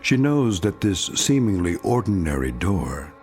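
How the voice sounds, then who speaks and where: A man performing as the solemn narrator of an eerie radio drama, measured and portentous. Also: sits low, big pitch swings, darkish tone, soft background music, full character voice. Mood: ominous. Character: solemn, ominous narrator of eerie anthology tales.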